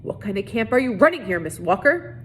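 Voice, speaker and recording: A woman's voice: big pitch swings, brisk, natural voice. Woman reader as formal male attorney general in a storybook, in charge, faint noise behind. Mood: stern. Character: authoritative man attorney general, brisk law official. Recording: noisy recording with low rumble.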